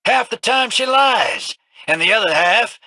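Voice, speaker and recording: An actor voicing a wily, scruffy male gambler in a video game, drawling folksy wisecracks in a husky voice. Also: sits high, expressive, a little slow, pushed and bright, dry recording, heavily acted. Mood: sly.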